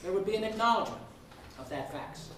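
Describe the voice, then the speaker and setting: A man who is a law professor speaking in a debate, laying out his case deliberately in short rhetorical phrases. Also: sits high, expressive, fairly bright tone. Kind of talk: spoken legal debate. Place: indoor room at a law school event. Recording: very echoey room, distant mic, faint noise.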